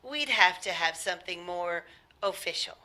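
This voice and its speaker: An actress playing a firm, formal, bureaucratic chief telephone operator in a 1940s style radio play. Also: female voice, on the low side, expressive, moderate pace, pushed and bright. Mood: officious, firm.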